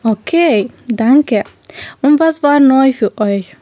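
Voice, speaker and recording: A woman's voice: sits high, lively intonation, takes it slowly, mellow, no room sound. A twenty-year-old woman from Romania moderating a group talk on the radio. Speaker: radio show host.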